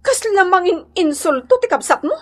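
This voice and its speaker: A woman's voice: very high voice, sing-song, moderate pace. A woman playing a stingy, easily affronted wife who complains whinily in an Ilocano radio drama. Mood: indignant.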